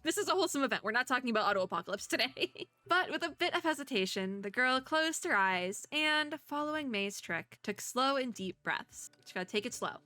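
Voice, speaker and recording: Hoarse, giggly young woman gamer. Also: high voice, animated, a little fast, few pauses, pushed and bright, no room sound, faint music behind. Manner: giggly, chatty, cheers on characters. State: hoarse, sore throat. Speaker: VTuber. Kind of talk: casual let's-play commentary reading story text aloud.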